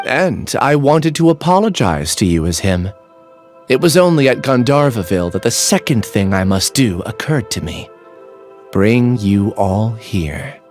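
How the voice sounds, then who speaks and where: A calm, resigned young researcher. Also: male voice, fairly low pitch, a little slow. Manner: calm, earnest, explaining himself. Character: quiet young male researcher resigned to joining a hive mind. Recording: faint game music behind.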